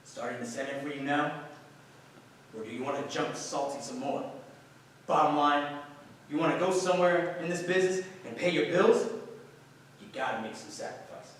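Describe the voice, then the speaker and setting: A man's voice: on the high side, moderate pace, long pauses, a little hiss or hum, lightly acted. Man playing a brash American hustler in an echoey hall. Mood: smug, cutting. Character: brash, mocking, worldly male actor taunting his friend. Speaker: actor in a staged reading. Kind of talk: theatrical stage dialogue. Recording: echoey hall, distant microphone.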